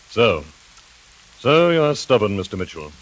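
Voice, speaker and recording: An actor playing a suave middle-aged villain in a 1940s radio thriller, mocking and politely menacing. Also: male voice, mid-range voice. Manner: genial menace, unhurried politeness. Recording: hissy 1940s radio recording, rain noise.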